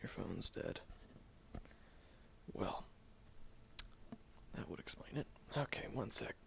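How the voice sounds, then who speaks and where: A man's voice: lowish voice, lively intonation, slow, vocal fry, exaggerated voice. A man playing a sarcastic, exasperated tsundere young man who grudgingly looks after someone in an audio roleplay, speaking straight to the listener. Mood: grudgingly caring. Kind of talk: audio roleplay acting, spoken directly to the listener. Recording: dull lo-fi audio with faint noise.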